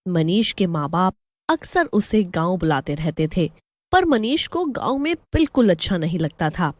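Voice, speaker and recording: A woman's voice: lowish voice, sing-song, normal speed, no echo. A woman narrating a scripted story as the storyteller.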